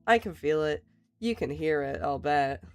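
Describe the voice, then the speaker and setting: A woman, hoarse and raspy, chatting casually while she draws on a stream and laughing often. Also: animated, moderate pace. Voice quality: raspy, lower than usual. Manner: laughs often, reassuring, rambling. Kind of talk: casual chat while drawing.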